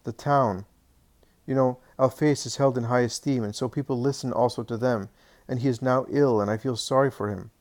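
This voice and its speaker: A man's voice: mid-range voice, fairly even intonation, moderate pace, darkish tone, lightly acted. A man voicing a worried townsman who confides town gossip in an earnest, sympathetic way.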